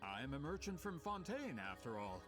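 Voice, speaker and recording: An actor playing a smooth-talking merchant in a video game, polite, formal and ingratiating. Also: male voice, fairly high pitch, sing-song, normal speed, music in the background. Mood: polite, eager. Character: smooth-talking, flattering male merchant and publisher.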